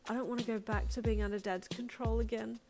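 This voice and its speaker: A woman's voice: a little high, steady tone, quick pace, a little mellow, voice pushed up, close to an everyday voice. A woman voicing an anxious, hot-tempered young adult daughter in a dramatized audio story, blunt and exclamatory.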